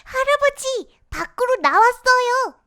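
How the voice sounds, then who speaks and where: A woman's voice: extremely high pitch, expressive, unhurried, background noise. A woman voicing a naive, emotional wooden puppet boy with a childlike voice in a children's storybook. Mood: delighted. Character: naive wooden puppet boy, whiny, eager, easily sorry.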